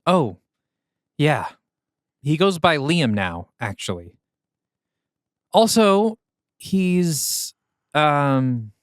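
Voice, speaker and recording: A man's voice: on the high side, sing-song, extremely slow, pauses often, dry recording, studio quality, exaggerated voice. A man playing an anxious, guarded young engineer and dutiful son in a naturalistic, hesitant audio drama performance. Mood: hesitant, tearful. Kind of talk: acted dialogue in an audio screenplay reading.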